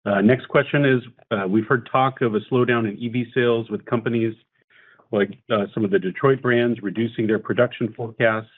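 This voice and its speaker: A man moderating an earnings call, reading out investor questions in a halting, businesslike way. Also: mid-range voice, fairly even intonation. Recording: phone-like conference call line.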